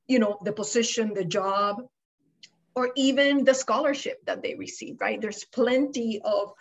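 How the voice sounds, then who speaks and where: A woman's voice: fairly high pitch, unhurried. A middle-aged woman from Puerto Rico talks through her experience on a webcam panel. She is reflective and explanatory, tagging her points with "right?". The call audio is a little dull and lo-fi. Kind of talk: panel discussion on a video call. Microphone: video call webcam.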